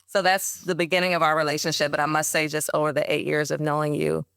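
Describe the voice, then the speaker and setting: A woman hosting a podcast interview, asking questions and offering measured, heartfelt praise to her guest. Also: low voice, little pitch movement, vocal fry, fairly bright tone, clear, resonant tone, no echo, studio quality.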